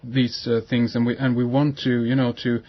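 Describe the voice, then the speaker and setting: A man hosting a radio talk show, halting and agreeable. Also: medium pitch, little pitch movement, lo-fi sound. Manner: halting, many fillers and restarts, agreeable. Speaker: radio host. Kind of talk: hesitant radio interview chat.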